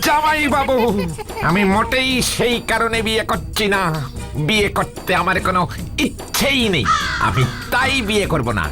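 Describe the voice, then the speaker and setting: A man voicing a boastful, excitable bachelor uncle in a theatrical cartoon style. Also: sits high, normal speed, music in the background. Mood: stubborn, boastful. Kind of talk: animated cartoon acting.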